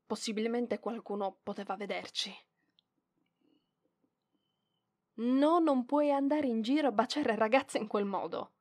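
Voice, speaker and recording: A proud young librarian, acted by a woman for an ASMR roleplay, speaks in a fairly high voice at a slow pace. She scolds bossily yet fondly, stumbling over her words when flustered. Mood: bossy, prim. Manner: hesitant stammering, flustered scolding, giggly. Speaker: voice actress in audio roleplay.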